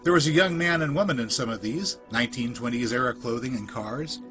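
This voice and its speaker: A man reading a scripted first-person spooky story in a measured, deliberate storytelling manner. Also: medium pitch, fairly bright tone, faint music behind. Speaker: narrator of a creepypasta podcast.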